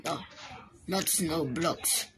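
A woman's voice: sits low, extremely slow, background noise. A woman casually commenting on her own building game, often dropping into whispers, recorded on a phone. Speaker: gameplay channel host. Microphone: phone mic.

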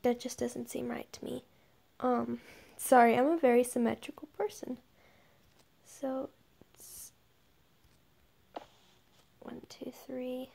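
High-pitched, creaky young woman gamer. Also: level tone, slow, long pauses, darkish tone. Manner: murmured counting under breath, thinking aloud. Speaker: gaming video creator. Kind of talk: casual game commentary while building. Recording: noisy home recording with clicks.

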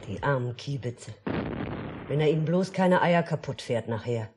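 A woman's voice: extremely low pitch, expressive, moderate pace. A woman playing a placid, naively chatty housewife in a 1950s radio drama, homely and unconcerned. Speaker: actress playing the mother. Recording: noisy 1950s mono radio recording.

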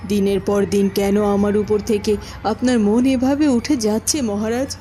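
A woman's voice: mid-range voice, moderate pace, warm tone, faint music behind, natural voice. A woman voicing a neglected, scheming queen in a fairy-tale cartoon, full of reproach. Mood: reproachful.

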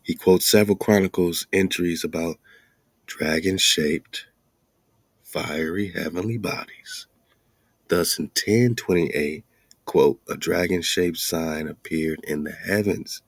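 A man's voice: low voice, measured pace, no echo. An American man hosting, reading a text aloud and breaking into colloquial, off-the-cuff commentary with emphatic asides. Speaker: host.

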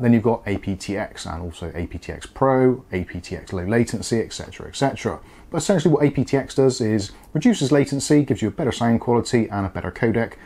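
A man's voice: mid-range voice, warm tone. A man in his forties presenting a casual product review to camera, chatty and explanatory in manner. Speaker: tech review host. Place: small indoor home studio with brick-look wall.

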